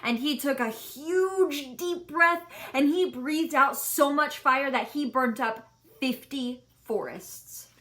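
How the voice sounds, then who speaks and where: A woman reading a children's story aloud in an expressive, emphatic way. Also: high voice, slow.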